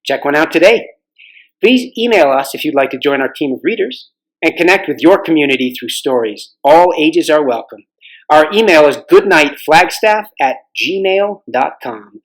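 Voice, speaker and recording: Higher-voiced man reading a storybook aloud. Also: low-quality recording. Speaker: volunteer story reader. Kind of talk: read-aloud storybook narration.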